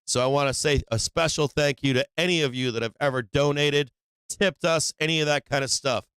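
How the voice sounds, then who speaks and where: A man's voice: fairly high pitch, fairly quick, a bit bright, clean tone, dry recording. A man hosting a live stock trading stream, chatting casually and bluntly in slangy, profanity-laced talk. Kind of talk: casual live stream chat and market commentary.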